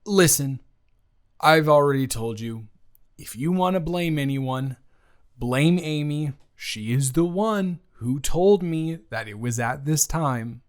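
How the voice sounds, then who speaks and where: A man playing an awkward, earnest ex-boyfriend in an improvised romantic roleplay, speaking haltingly and stammering to the listener. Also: a little high. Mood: exasperated. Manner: halting, stammering, with long pauses. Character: awkward, earnest, teasing young ex-boyfriend trying to reconcile.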